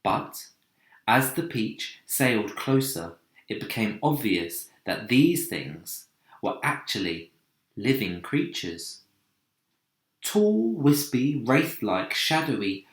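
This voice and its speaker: A man reading a children's story aloud as narration, deliberate and dramatic in delivery. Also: fairly low pitch. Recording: echoey room.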